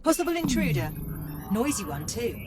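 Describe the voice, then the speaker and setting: An actress playing a polite, attentive AI assistant in a video game, composed and deferential. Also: female voice, a little high, very animated, moderate pace, music in the background. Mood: alert.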